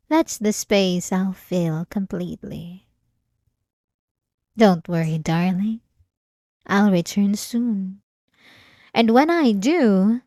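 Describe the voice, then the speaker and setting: Casting: actress as ancient female vampire for ASMR drama, possessive and menacingly tender, very unhurried intimate delivery, dry close sound. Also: mid-range voice, a little mellow, no room sound. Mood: sweetly possessive. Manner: slow, intimate, deliberate, dramatic pauses. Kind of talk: ASMR roleplay, dramatic monologue.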